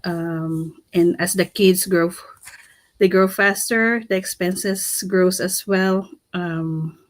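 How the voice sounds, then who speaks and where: A woman co-hosting a livestream, speaking in a measured way with frequent "um" pauses. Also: on the low side, fairly even intonation, no room sound. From Philippines. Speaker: livestream co-host. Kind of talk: casual livestream talk.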